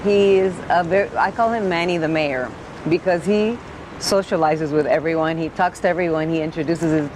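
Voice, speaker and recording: A woman's voice: deep voice, normal speed, noisy background. A woman on a cruise, chatting in a teasing way about fellow travelers. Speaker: cruise guest. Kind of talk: casual interview. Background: music.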